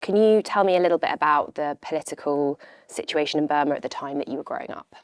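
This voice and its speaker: A woman interviewer asking brisk, short questions from off camera. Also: low-pitched, no room sound, studio quality.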